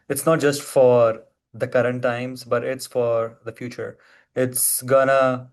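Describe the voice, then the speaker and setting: A man hosting a podcast interview in an appreciative, halting conversational way, doubling up words for emphasis. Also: medium pitch. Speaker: podcast host.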